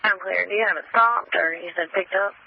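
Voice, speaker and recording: A man's voice: high voice, fairly quick, gravelly. A male police dispatcher repeating back updates and directing units over the radio. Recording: muffled scanner radio.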